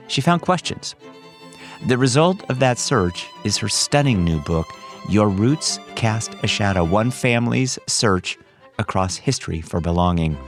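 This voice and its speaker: A male podcast host reading a measured, emphatic introduction, then chatting with his guest. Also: lowish voice, creaky. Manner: measured, emphatic rhetorical delivery. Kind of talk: scripted intro then interview chat.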